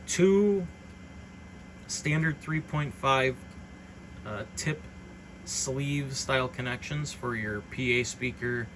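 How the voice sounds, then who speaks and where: A man's voice: lowish voice, background noise. A man giving an informal, unscripted hobbyist product demonstration in a rambling, matter-of-fact way. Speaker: hobbyist radio reseller presenting a demonstration.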